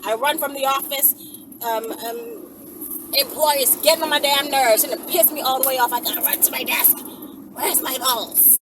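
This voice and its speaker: A woman's voice: high voice, normal speed. A woman chatting casually to viewers in a rambling vlog, with a brash, playful, foul-mouthed manner. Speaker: video creator. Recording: distant mic with clear background noise.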